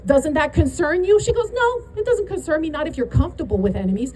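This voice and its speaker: A woman's voice: sits high, animated, fast, a little hiss or hum. A middle-aged woman with a New York accent giving a punchy, rousing rally speech outdoors. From New York area. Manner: emphatic, punchy, building to shouts. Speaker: rally speaker.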